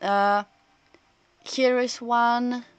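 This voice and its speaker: A woman live streamer chatting casually while playing a story game, rambling and halting as she thinks out loud, with game music behind her. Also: fairly high pitch, fairly even intonation, clear, resonant tone, dry recording.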